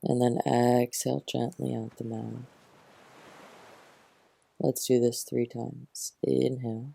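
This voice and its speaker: A man who teaches yoga nidra, gently guiding a relaxation meditation in a soothing, unhurried manner, close to the mic. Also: medium pitch, little pitch movement, gravelly, breathy edge.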